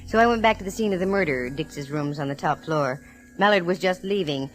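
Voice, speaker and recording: An actress plays a self-assured American woman detective from a 1940s radio mystery. Her voice sits low for a woman. She is wry and breezy, delivering hardboiled narration and snappy banter. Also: fairly quick, soft background music, close to an everyday voice, poor audio. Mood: wry storytelling. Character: wisecracking, self-assured female private eye telling her case.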